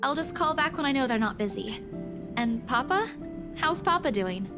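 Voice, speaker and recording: Anxious young game heroine, dutiful daughter type, high bouncy voice over music. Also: female voice, animated, moderate pace. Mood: caring, cheerful front. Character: anxious, dutiful young woman living away from family. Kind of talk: visual novel voice acting.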